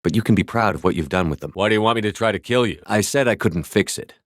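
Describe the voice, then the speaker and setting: A man's voice: lowish voice, fast talker, dry recording, studio quality, natural voice. A man voicing a tough, commanding space soldier and squad leader in a video game, with terse, firm delivery. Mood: low, sincere. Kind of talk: cinematic game dialogue lines.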